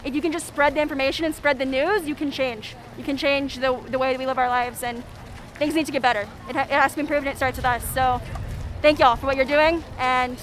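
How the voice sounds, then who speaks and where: A woman's voice: sits high, steady tone, fast talker, a little hiss or hum. A woman in her twenties from Memphis, a recent college graduate, speaking eagerly in a street interview. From Memphis, Tennessee. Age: 20s.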